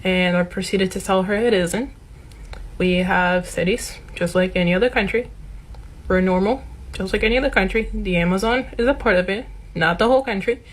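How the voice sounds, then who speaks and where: A woman's voice: medium pitch, normal speed, low-quality recording. A woman from Brazil telling a personal story in an earnest, indignant tone. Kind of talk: casual anecdote.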